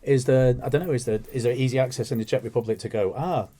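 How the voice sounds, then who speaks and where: A man's voice: mid-range voice, fast talker, dark tone. A man co-hosting a podcast, asking blunt practical questions and slipping in jokes. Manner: jokey, throws in quips between questions. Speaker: podcast co-host. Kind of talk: casual interview chat.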